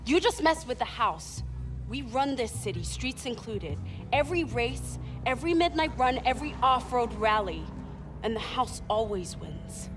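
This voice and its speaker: A woman's voice: fairly high pitch, very animated, normal speed. An actress playing a cold, smug, menacing female crime enforcer in a video game. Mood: cold menace. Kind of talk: cinematic video game acting. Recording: music behind the voice.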